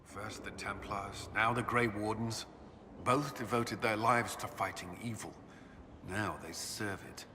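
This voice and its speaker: A man voicing an earnest, tormented military commander in a fantasy video game, intense and halting. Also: fairly low pitch, steady tone, natural voice. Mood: quiet, grave. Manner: halting, intense, breaking off mid-sentence. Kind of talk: dramatic game cutscene acting. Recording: reverberant hall with background noise.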